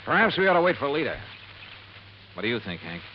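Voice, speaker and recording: Hard-boiled radio detective played by a man, animated delivery. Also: medium pitch, a little fast, noisy background, close to an everyday voice. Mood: probing, measured. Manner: clipped, hard-boiled, probing. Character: sharp, steady male insurance investigator, hard-boiled narrator. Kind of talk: 1950s radio detective acting and narration. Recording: muffled vintage radio recording, music underneath.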